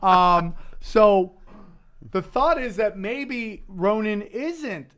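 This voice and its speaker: A man's voice: high-pitched, animated, very slow, a bit bright. A man hosting a casual comedy podcast, talking in a blunt, opinionated, profane way. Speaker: podcast host.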